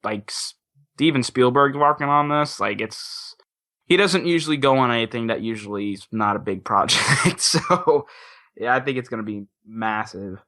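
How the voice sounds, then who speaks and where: A man talks casually as a panelist on a podcast, with a bright, forward voice at an average pitch. He tends to repeat phrases and pad them with fillers as he makes his point. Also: lively intonation, moderate pace. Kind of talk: casual chat.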